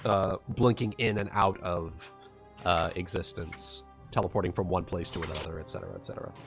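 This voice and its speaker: A man narrating a live tabletop roleplaying session as game master, halting as he searches for words. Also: low voice, lively intonation, creaky. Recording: music playing behind the voice. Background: background music.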